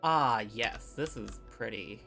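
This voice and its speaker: A woman's voice: extremely low pitch, expressive, slow pace, creaky, pushed and bright. A woman casually commentating on a game she is streaming, thinking aloud in short, reactive bursts. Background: video game music.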